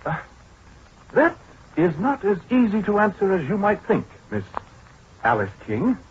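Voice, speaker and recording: An actor playing a proud, pedantic scientist in a 1940s radio drama, lecturing precisely with small hesitant interjections. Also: male voice, high-pitched, very animated, unhurried, slight background noise. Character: proud, pedantic male scientist showing off a giant computer. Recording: dull, muffled 1940s radio broadcast.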